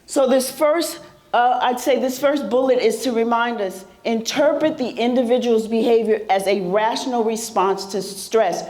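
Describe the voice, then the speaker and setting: A woman's voice: fairly high pitch, normal speed, darkish tone. A woman presenting a workshop to a live audience, talking conversationally with a soothing voice and regularly asking the room questions. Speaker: presenter at a mental health symposium.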